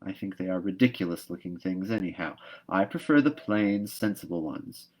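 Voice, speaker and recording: Stern older guardian woman in a storybook, played by a male reader: no-nonsense, brisk, scolding, firmly emphatic. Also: deep voice, a little mellow. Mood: dismissive.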